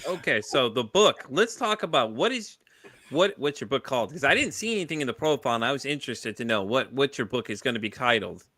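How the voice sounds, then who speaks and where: A man's voice: mid-range voice, animated, brisk, pushed and bright, no room sound. A man hosting an interview show, chatting casually and enthusiastically with his guest and leaning on fillers like "you know.". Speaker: podcast host.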